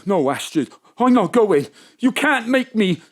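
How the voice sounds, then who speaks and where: A woman acting in an audio drama as rattled, excitable local men, theatrical and protesting. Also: mid-range voice, a little slow. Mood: panicked, pleading. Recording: remote home-studio recording.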